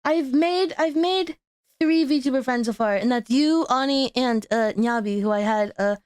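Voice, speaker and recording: High-voiced stuttering young woman streamer. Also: a little slow, darkish tone, clean tone, no room sound, studio quality. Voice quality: stutters, with frequent repeats and restarts. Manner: rambling, laughs at herself. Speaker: VTuber streamer hosting a guest. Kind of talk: casual chat.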